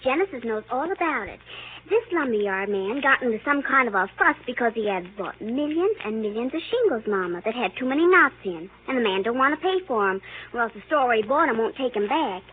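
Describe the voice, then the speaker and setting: Casting: insistent chatterbox little girl adult actress, lively swooping delivery, 1930s radio play, hissy vintage sound. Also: on the high side, a little fast, lightly acted. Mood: eager tattling. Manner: breathless run-on storytelling, childishly insistent. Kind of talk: 1930s radio drama acting. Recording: tinny, hissy old 1930s radio recording.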